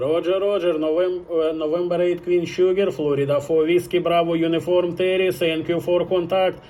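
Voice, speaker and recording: A man making a ham radio contact, spelling in phonetics and deliberately repeating his words over clear background noise. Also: fairly high pitch, level tone. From France. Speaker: amateur radio operator.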